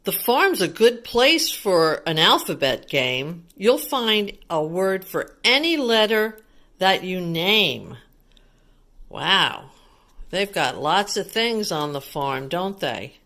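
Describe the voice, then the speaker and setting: Slow, lively woman reading to children. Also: fairly low pitch. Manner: child-directed, asks simple questions and explains. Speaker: children's read-aloud teacher. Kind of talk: picture book read-aloud with explanations for young children.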